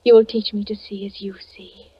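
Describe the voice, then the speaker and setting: An actress playing a gentle, trusting young blind peasant woman, earnest and tender in 1940s radio drama style. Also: mid-range voice, level tone, a little fast, natural voice. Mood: tender, devoted. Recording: muffled, noisy 1940s radio recording.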